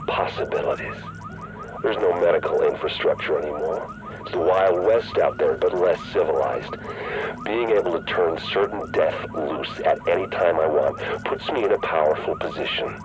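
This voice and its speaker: Very deep, calculating rogue agent. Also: male voice, huge pitch swings, moderate pace, background noise. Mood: calculating, confident. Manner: persuasive, coolly self-assured. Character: cynical, calculating rogue agent, a power-hungry man. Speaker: rogue agent and faction leader. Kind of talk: cinematic video game acting.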